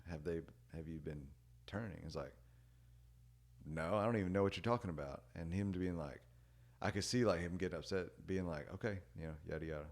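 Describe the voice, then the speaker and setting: A young man chatting casually as a podcast guest, rambling with lots of "like" and "dude" and letting his sentences trail off quietly. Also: sits low, brisk. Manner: rambling, many "like" and "dude" fillers; relaxed, trails off quietly at ends of thoughts. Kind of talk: casual storytelling chat.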